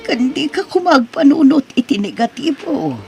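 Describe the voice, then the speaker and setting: A woman's voice: sits high, sing-song, moderate pace, warm tone. A woman plays an older mother in an Ilocano radio drama. She sounds concerned and soothing, with music under her voice.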